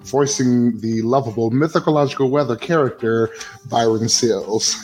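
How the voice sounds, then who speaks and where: Man, mid pitch, friendly, slightly hesitant intro, faint music. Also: normal speed, darkish tone. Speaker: voice actor in the cast.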